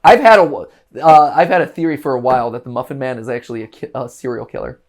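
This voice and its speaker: Male host, mid pitch, casual reaction chat, playful and animated. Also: quick pace. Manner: animated, jokey, breaks into silly voices.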